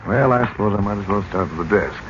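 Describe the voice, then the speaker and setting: An actor playing a grave, purposeful middle-aged uncle in 1940s radio drama style, on a dull recording. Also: male voice, on the low side, fast, noisy background, lightly acted. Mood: serious, investigating.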